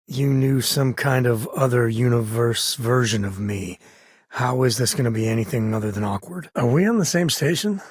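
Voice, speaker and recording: An actor playing a rugged, wry space-frontier explorer and caring father in a video game, with a husky, raspy voice and folksy, conversational phrasing. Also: mid-range voice, no room sound, studio quality. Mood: candid, wry. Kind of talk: video game companion dialogue.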